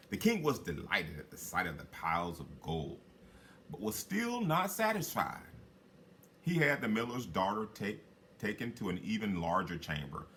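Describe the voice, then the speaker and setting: Guy reading a kids fairy tale out loud, really animated up and down delivery, bit of background noise. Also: lowish voice. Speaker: storyteller reading a children's book. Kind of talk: expressive read-aloud fairy tale narration.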